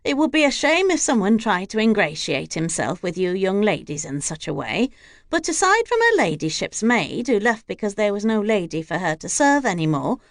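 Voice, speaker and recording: A woman's voice: mid-range voice, no echo, studio quality. A woman voicing an older housekeeper who is formal and deferential to her lord. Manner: deferential to the lord, formal and old-fashioned speech. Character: older female housekeeper, devoted, stiff with outsiders.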